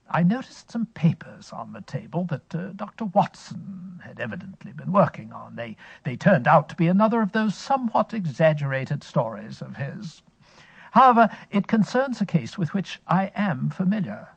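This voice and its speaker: A wry, sedentary elder brother, amused and leisurely, with a lively, rising and falling delivery, fairly high for a man. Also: creaky, slightly rough, no room sound, muffled recording, full character voice. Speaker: series host in character. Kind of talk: scripted radio-style drama monologue.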